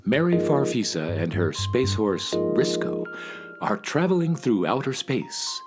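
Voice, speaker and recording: A man with a lowish, lively voice narrates a children's story. He speaks straight to young listeners, with prominent music behind him.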